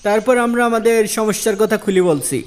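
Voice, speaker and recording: Man playing an earnest, high-voiced village man. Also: quick pace, natural voice. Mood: earnest, grateful. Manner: earnest, polite, explanatory. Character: earnest, respectful male villager seeking a judge's ruling. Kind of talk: Islamic moral cartoon dialogue.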